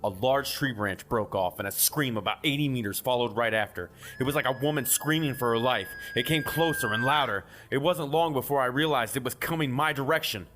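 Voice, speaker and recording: A man's voice: medium pitch, a little fast, hardly any pauses, a bit bright. A man reading a scripted first-person creepypasta as a horror story narrator. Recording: music behind the voice.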